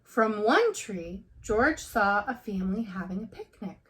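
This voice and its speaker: A woman teacher reading a picture book aloud to young children in a deliberate, expressive storytelling way. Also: mid-range voice, rough recording.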